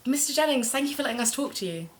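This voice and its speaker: A woman's voice: sits high, animated, rapid-fire. A woman playing a polite, friendly podcast investigator in audio drama dialogue. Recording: noisy background ambience.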